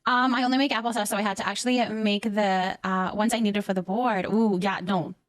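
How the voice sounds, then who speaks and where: A woman's voice: mid-range voice, fairly even intonation, fairly quick, dry recording. A woman livestreamer chatting casually with her viewers, effusive and affectionate, often repeating words and short phrases as she thanks them. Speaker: live streamer hosting a chat.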